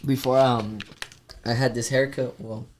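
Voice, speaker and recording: A man working as a barber, guest on a podcast, telling a story in a soft-spoken, laid-back way and calling everyone "bro". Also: medium pitch, little pitch movement, a little slow, slight background noise. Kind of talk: casual storytelling.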